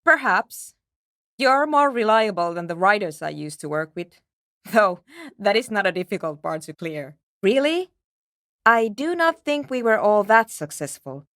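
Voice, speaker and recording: A woman's voice: medium pitch, animated, unhurried, a bit bright, dry recording. A woman playing a frank, pragmatic female engineer in a video game, speaking in a dry, matter-of-fact and precise way. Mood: reserved, grudging. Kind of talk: scripted video game character dialogue.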